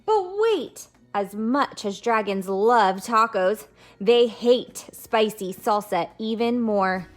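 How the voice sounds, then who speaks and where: High-voiced woman reading slowly to children. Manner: addresses children directly with simple explanations and questions. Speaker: children's read-aloud host and teacher. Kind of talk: picture-book read-aloud and lesson for young children.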